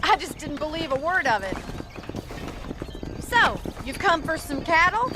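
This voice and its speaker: A woman's voice: high voice, sing-song, unhurried, noisy background. An actress playing a spirited, friendly young woman rancher in a game, wry and playfully dramatic. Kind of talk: video game cutscene acting.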